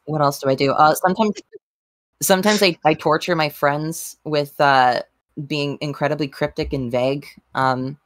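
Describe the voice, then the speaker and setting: A woman chatting casually on a group podcast in a halting, deadpan, playfully cryptic way. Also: deep voice, dry recording. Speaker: podcast panelist.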